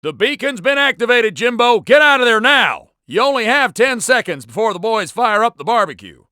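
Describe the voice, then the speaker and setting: A brash agent sidekick in a video game. His voice is very high, sharp and lively, slangy and always urging. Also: moderate pace, no echo, clean studio sound, heavily acted. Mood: urgent. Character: brash, wisecracking male American agent ally. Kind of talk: video game radio chatter.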